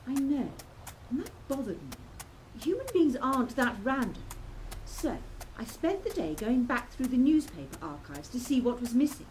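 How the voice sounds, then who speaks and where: A woman's voice: sits high, very animated, normal speed, darkish tone, slight background noise, low-quality recording. A woman playing a clever, earnest young lady researcher in a steampunk radio play, speaking precisely. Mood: earnest, intent. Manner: precise, articulate, explanatory.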